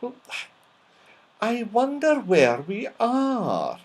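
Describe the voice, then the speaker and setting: A man's voice: very high voice, wildly swooping pitch, very slow, voice pushed up, exaggerated voice. A man voicing a female fairy in a children's storybook, wondering and a little wary, given to exclamation. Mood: curious.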